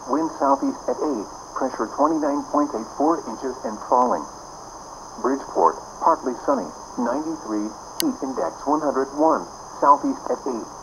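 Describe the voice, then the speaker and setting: A man's voice: mid-range voice, creaky. A man with an American accent reading a formal, clipped, scripted weather bulletin over the radio. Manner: formal, clipped list-like delivery. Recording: noisy radio broadcast picked up in a room.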